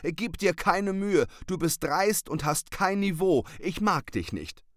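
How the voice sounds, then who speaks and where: A man's voice: medium pitch, wildly swooping pitch, drawn-out words, gravelly, sharp tone, breathy edge, dry recording, studio quality, pitched above the performer's own voice, exaggerated voice. A man voicing a small, proud male tomcat who talks in a stern, bossy, pompous way.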